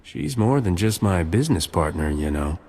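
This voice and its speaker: An actor playing a cocky, flirtatious smuggler captain in a video game, talking wryly. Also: male voice, deep voice, very animated, moderate pace, darkish tone, husky, exaggerated voice. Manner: wry, cocky. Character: roguish, flirtatious male smuggler captain, wisecracking. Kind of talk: video game cutscene acting. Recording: hall reverb, music behind.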